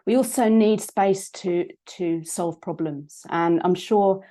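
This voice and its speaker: A woman with a British accent, a psychologist and coach giving an online talk, croaky from a cold and hesitant, with many ums and restarts. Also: lowish voice, slow pace, warm tone. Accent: British English.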